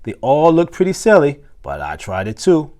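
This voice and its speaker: A man reading a children's story aloud in an expressive storytelling style, acting out dialogue with the odd stumble. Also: on the high side, normal speed. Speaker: assistant principal reading a children's book aloud.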